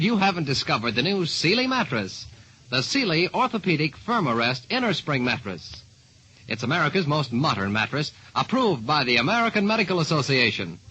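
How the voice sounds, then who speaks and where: A man's voice: fairly high pitch, pushed and bright, slightly rough, muffled recording. A man announcing in 1940s radio style, on an old radio recording.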